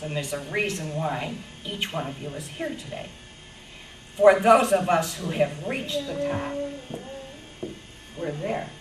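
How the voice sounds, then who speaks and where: A woman's voice: lowish voice, a little hiss or hum. A woman in her eighties from western New York giving a heartfelt anniversary speech, big-voiced and storytelling, laughing as she talks. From western New York, USA. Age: 80s. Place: party room. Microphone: handheld microphone passed around. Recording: echoey room from far off with chatter.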